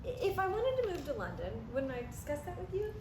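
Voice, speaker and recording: A woman acts out an evasive, exasperated girlfriend in a comic stage reading. Her voice is high and animated, with a dry conversational delivery. The recording has clear background noise. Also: fairly quick, exaggerated voice. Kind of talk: comic stage play table read. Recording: roomy, clearly noisy recording.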